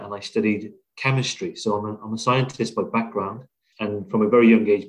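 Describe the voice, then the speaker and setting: A middle-aged man from Wales with a British accent, talking conversationally and reflectively as a podcast guest, with frequent pauses. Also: on the low side, darkish tone, low-quality recording. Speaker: podcast guest, business leader. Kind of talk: conversational interview, telling own career story.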